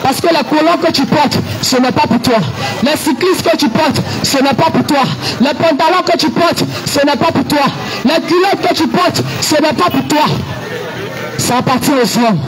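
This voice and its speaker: A man with a very high, strained voice preaches in Ivorian French, shouting his refrains again and again. He sounds out in the street, with music playing clearly behind him and a crowd around him. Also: normal speed, poor audio. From Ivory Coast. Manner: shouting, exhortative, repeats refrains. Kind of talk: outdoor evangelistic sermon. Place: outdoors in a town, with a crowd.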